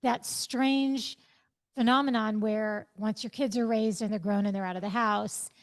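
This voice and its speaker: A woman in her fifties, a podcast interview guest, talking in a reflective, animated way and stressing the thoughts she quotes. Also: a little high, measured pace. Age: 50s.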